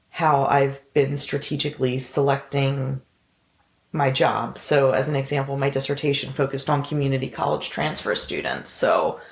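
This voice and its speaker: A woman co-hosting a podcast, speaking in a measured, reflective way. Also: a bass voice, vocal fry. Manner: measured, reflective, agreeable backchannels. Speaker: podcast co-host. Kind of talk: casual conversational chat. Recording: echoey room.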